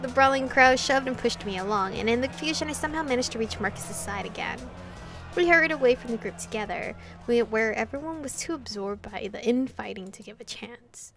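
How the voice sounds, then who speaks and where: A woman hosting a visual novel playthrough, reading game text aloud with expressive, casual reactions and casual swearing. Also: fairly high pitch, brisk, faint music behind.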